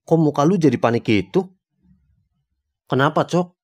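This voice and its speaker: A man's voice: a little high, lively intonation, normal speed, darkish tone. A man voicing a laid-back, teasing young hotel roommate in a cartoon, talking in slang. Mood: curious. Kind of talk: cartoon dialogue, casual slang.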